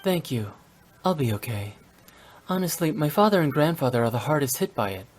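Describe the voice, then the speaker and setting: A man playing a courteous, composed young nobleman in a video game, speaking in a measured, formal way. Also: on the high side, big pitch swings. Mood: resigned. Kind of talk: English video game voice acting.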